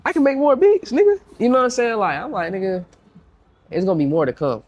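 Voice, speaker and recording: Stuffed-up young guy, producer telling stories, slangy, bit higher voice, lots of "for real, for real" energy. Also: fast talker, dull audio. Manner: slangy, repeats himself, lively storytelling. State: congested. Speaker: music producer guest. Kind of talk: casual podcast interview.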